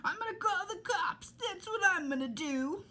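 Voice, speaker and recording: A woman voicing an angry, blustering male cook in a storybook, loud and indignant. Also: very high voice, expressive, moderate pace, pitched above the performer's own voice, heavily acted.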